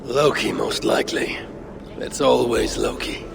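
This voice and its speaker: A man playing a proud, brooding Norse god and grim father in a video game, speaking in a measured, solemn, commanding way. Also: medium pitch. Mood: wry, persuasive. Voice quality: gravelly. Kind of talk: video game cinematic acting. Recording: music under the voice. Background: cinematic game music.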